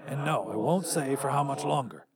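A man voicing a burnt, undead older version of a story's narrator, blunt and wry, with a slightly raspy voice. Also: medium pitch, animated, normal speed, background noise, lightly acted. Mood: wry, resigned. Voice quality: a bit raspy. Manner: blunt, colloquial, nagging. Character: burnt undead future self, urgent, wry, weary visitor.

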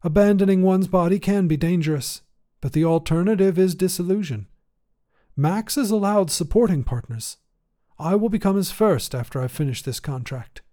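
A man voicing a poised, two-hundred-year-old woman space commander in a science fiction story, speaking in a measured, formal, gently wistful way. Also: sits high, voice pushed up, close to an everyday voice. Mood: calm, resolute. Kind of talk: acted dialogue in science fiction story reading.

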